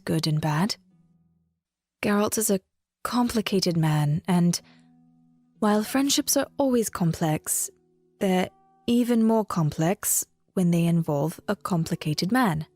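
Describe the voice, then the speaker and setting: Female bard, played by a woman, fantasy audio drama: medium pitch, measured, gentle, guarded and a little wistful, over music. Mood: reflective.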